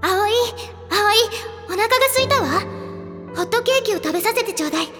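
Very high-voiced spoiled girl, echoing. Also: animated, fairly quick. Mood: sweetly demanding. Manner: imperious yet childlike coaxing. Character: spoiled, willful rich young girl, doted on. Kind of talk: Japanese audio drama flashback acting. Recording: long reverb, music behind.

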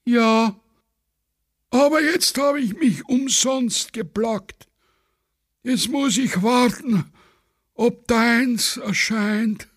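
A man plays a self-important Viennese amateur poet in a radio play. The voice sits very high and moves slowly, stretching verses out with a plaintive air. The sound is dry and close. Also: no room sound, exaggerated voice. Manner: slow, drawn-out verse reciting.

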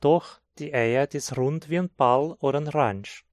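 Male course reader, Hunsrik-accented German, unhurried and clear, dry studio sound. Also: a little high, a little mellow, no room sound. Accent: Brazilian Hunsrik German. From southern Brazil. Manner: slow, clear teaching delivery. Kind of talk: scripted textbook dialogue.

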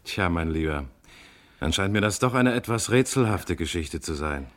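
Police detective, lowish voice, formal, probing, composed, old German radio play. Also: male voice, expressive, normal speed. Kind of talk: 1950s-60s German radio play acting. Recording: vintage mono radio recording.

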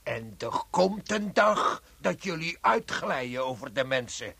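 A man's voice: mid-range voice, sing-song, unhurried, vocal fry, pushed and bright, breathy edge, no echo, exaggerated voice. An actor playing a furious, rebellious man who rants bitterly and scornfully in a radio drama. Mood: furious, threatening. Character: furious rebellious man raging against the authorities.

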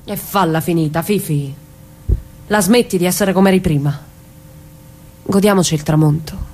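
A woman's voice: lowish voice, normal speed, darkish tone, close to an everyday voice. A woman playing a defiant, wounded mother in a dramatic radio play, speaking in terse, emphatic lines. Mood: defiant, wistful. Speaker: actor in a dramatic reading.

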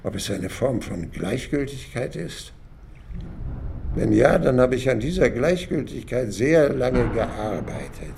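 A man's voice: mid-range voice, sing-song, gravelly, a little mellow, slight background noise. An actor playing a weary, reflective man in his nineties, musing wryly in a radio drama monologue. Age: older. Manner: musing, deliberate, wry.

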